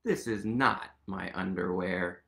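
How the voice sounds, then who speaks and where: A man voicing a forlorn, mild-mannered polar bear in a picture book, with slow, simple replies. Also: sits low, creaky, pushed and bright, pitched below the performer's own voice, full character voice. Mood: mild, subdued.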